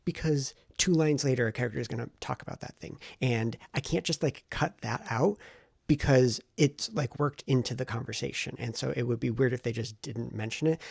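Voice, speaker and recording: A man with a gravelly, husky voice talks casually, as if to a vlog camera. He sounds reflective and thinks aloud as he goes, and the recording is dry and close. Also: mid-range voice, a little mellow, dry recording. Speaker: vlogger and novelist.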